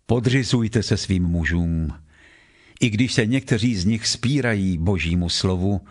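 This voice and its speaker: A man with a fairly low voice reads scripture for an audio Bible. His delivery is solemn and measured, each phrase set down deliberately. Music plays clearly behind him throughout. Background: background music.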